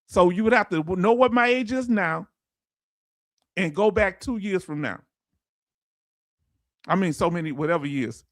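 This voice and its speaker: A man's voice: high-pitched, lively intonation, measured pace, long pauses, dry recording, clean studio sound. A man who teaches a livestream audience in loose, folksy talk and quizzes viewers with repeated questions. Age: 50s. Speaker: veteran benefits streamer. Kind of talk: livestream lesson answering chat.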